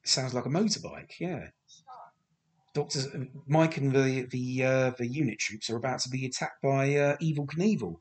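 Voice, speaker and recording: Croaky man, rambling podcast host. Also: mid-range voice, normal speed. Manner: rambling, hesitant, many fillers and restarts; self-deprecating. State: slightly croaky voice. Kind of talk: casual film commentary.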